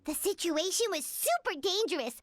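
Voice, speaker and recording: A woman voicing a tiny, childlike floating fairy companion in a video game, with a squeaky voice and a chatty, excitable, self-important manner. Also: moderate pace, no echo, faint music behind. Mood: boastful storytelling. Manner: excitable, self-important, speaks of self in third person.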